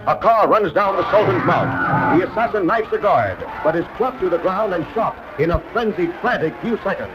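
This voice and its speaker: A man's voice: high-pitched, lively intonation, brisk, few pauses. An American man reading scripted 1950s newsreel narration with emphatic, announcer-style delivery. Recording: dull old newsreel soundtrack, prominent music. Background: newsreel music.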